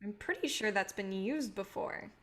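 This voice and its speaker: A woman's voice: mid-range voice, animated, moderate pace, fairly bright tone, close to an everyday voice. A woman playing a guarded, idealistic filmmaker, wry and self-deprecating, in a naturalistic staged play reading. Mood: mildly exasperated.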